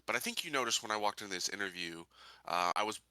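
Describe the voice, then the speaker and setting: Man as an earnest sheriff, bright forceful voice. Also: lowish voice, expressive, clear, resonant tone, no echo, heavily acted. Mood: firm, reassuring. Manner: measured, formal, with small stumbles. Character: earnest, determined male colony sheriff-to-be.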